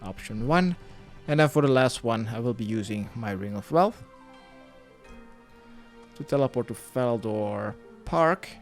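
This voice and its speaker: A man's voice: mid-range voice, expressive, unhurried, pauses often. A man narrating a step-by-step video game guide, giving plainly worded instructions as a tutorial voice-over. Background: music.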